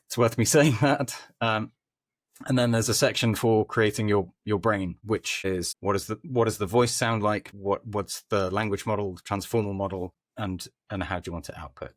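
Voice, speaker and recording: A man speaking as a podcast guest, explaining things informally in conversational answers with hesitant pauses. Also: fairly low pitch, steady tone, no room sound, clean studio sound. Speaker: podcast guest, company head of growth.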